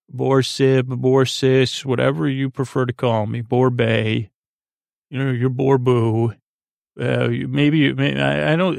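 A man with a very creaky, fairly flat voice talks in a dry, close-sounding recording. He rambles soothingly like a bedtime podcast host, wandering off, pausing long and restarting his sentences. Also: medium pitch, dry recording. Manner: meandering and halting, with drawn-out pauses and false starts.

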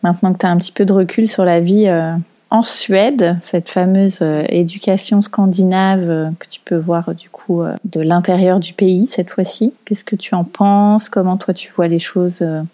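A woman's voice: fairly low pitch, steady tone, moderate pace, warm tone. A woman, interviewing in a casual, conversational way with informal, attentive questions.

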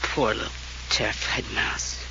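A man's voice: unhurried, bright, forceful tone. An actor playing a drunken, sardonic man of the house, drawling and chuckling in a 1940s radio drama, on a dull old recording. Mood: tipsy mocking. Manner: tipsy, drawling, chuckling mockery. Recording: dull lo-fi old radio transcription with faint hiss.

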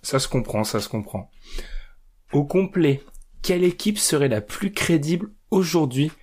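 A man's voice: on the high side, animated, moderate pace, darkish tone, breathy edge. A man hosting a casual basketball chat, chatty and joking as he hands questions to the others. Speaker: podcast host.

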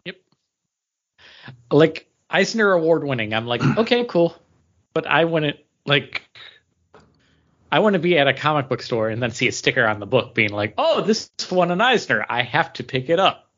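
A man's voice: fairly high pitch, big pitch swings, moderate pace, pauses often, a bit bright. A man co-hosting a podcast with a casual, conversational delivery. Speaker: podcast co-host. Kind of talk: casual chat. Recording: dull, lo-fi podcast audio.

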